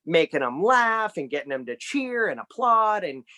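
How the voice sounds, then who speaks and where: Man from ohio, podcast guest, friendly, rambling answers, slightly higher voice. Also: big pitch swings, moderate pace, bright, forceful tone. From Ohio, USA. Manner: friendly, rambling, restarts sentences. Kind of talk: casual interview chat.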